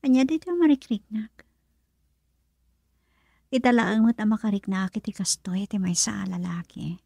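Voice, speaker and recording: Husky, lovestruck young nightclub woman. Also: medium pitch, normal speed, mellow, no echo, clean studio sound. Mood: wistful, yearning. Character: lovestruck young nightclub worker, wistful and self-doubting. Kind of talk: radio drama monologue.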